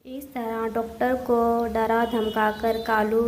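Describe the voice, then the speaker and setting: A woman's voice: fairly high pitch, flat delivery, slow, darkish tone, a little hiss or hum. A woman giving scripted narration for a cartoon story.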